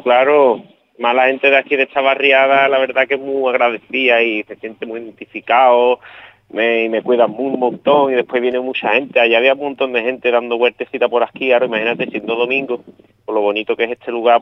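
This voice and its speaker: Andalusian man, relaxed rambling talk. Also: mid-range voice, fairly quick, no room sound, phone-quality sound. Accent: Andalusian, from El Puerto de Santa María. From El Puerto de Santa María, Spain. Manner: relaxed, rambling, many restarts and fillers. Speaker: painter being interviewed. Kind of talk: casual radio interview.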